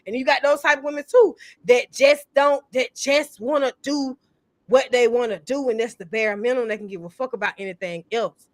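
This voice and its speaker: A woman's voice: high-pitched, dry recording, clean studio sound. A woman in her thirties chatting casually on a panel, blunt and emphatic, with a lot of swearing. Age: 30s. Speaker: podcast panelist. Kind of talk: casual chat.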